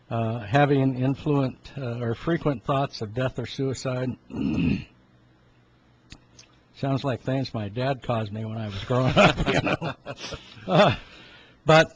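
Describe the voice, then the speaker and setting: A man in his seventies giving an informal health talk in a halting, rambling way, often clearing his throat. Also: medium pitch. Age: 70s. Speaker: health talk host, medical practitioner. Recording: dull lo-fi sound with background noise.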